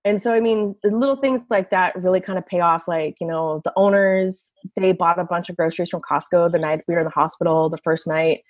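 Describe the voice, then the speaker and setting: A woman with a big, slightly low voice talks through an easygoing interview, full of "you know" and "like." The recording is dry and close, with no room sound. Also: a little fast, few pauses, dry recording. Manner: big voice, chatty with many fillers. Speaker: enologist. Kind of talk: casual interview talk.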